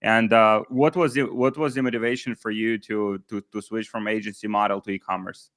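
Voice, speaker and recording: A man's voice: on the low side, fairly even intonation, a little fast, a bit bright. A man hosting a casual interview, talking loosely with many restarts and fillers. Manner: hesitant, many restarts and "like, you know". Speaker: host interviewing coaching clients.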